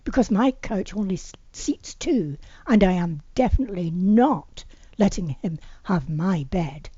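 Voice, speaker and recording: A woman's voice: lowish voice, animated, measured pace, warm tone, husky, no room sound, lo-fi sound. A woman reading the lines of a wry, stubborn younger heroine in an amateur audiobook. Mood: adamant. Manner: wry, firm. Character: adult woman interior designer, guarded, wry, stubborn. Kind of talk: amateur audiobook character dialogue.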